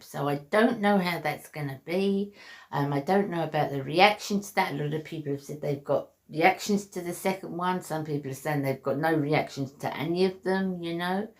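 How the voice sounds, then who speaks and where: Lady in her 60s vlogging from home, lots of ums, heartfelt. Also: sits low. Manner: earnest, chatty, frequent ums and restarts. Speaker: beauty vlogger. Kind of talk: heartfelt casual vlog chat. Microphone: basic camera mic.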